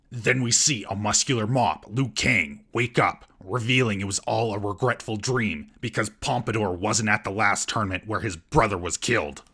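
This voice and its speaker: Casting: male comedy reviewer, mocking delivery, lowish and raspy, reading a scripted recap. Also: pushed and bright. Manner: sarcastic, mocking. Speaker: comedic film reviewer and host.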